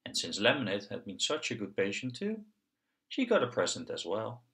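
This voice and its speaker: A man hosting a story time, reading aloud to young children in an expressive, singsong way. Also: lowish voice, normal speed. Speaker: children's story narrator and channel host.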